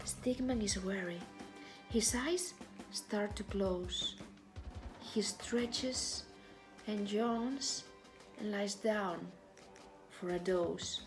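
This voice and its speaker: A woman narrating a children's story expressively, with deliberate, dramatic phrasing. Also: mid-range voice, music in the background. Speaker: storybook reader.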